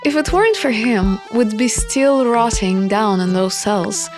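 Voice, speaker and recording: A woman's voice: a little high, animated, unhurried, over music. A woman voicing a defiant, sardonic young runaway royal in a visual novel, sarcastic and challenging. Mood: questioning, skeptical.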